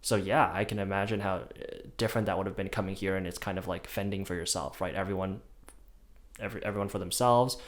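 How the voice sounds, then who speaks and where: Young man hosting, lowish voice. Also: fast. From China. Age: young adult. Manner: relaxed, conversational, rhetorical "right?". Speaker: podcast host. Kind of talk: casual podcast chat.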